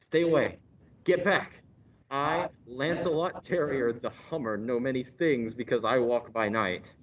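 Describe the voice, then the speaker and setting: A man's voice: mid-range voice, measured pace, natural voice. Pompous male radio-drama star coming unglued, played by a man, theatrical and repetitive, on a dull, noisy recording. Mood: defiant, grandiose. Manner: theatrical, pompous, repeats himself. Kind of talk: hammy radio-play acting.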